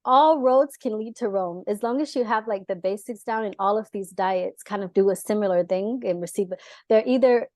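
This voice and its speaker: A young adult woman, born in the US and raised in Israel, chatting as a dietitian guest in a lively way, full of fillers and laughs. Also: medium pitch, moderate pace, dry recording, studio quality. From born in the US, grew up in Israel. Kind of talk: casual interview talk.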